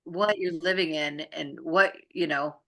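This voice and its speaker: A woman's voice: deep voice, fairly even intonation, a little slow, bright, forceful tone, low-quality recording. A middle-aged woman co-hosting a casual spiritual chat, explaining things earnestly. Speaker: co-host and healing arts practitioner.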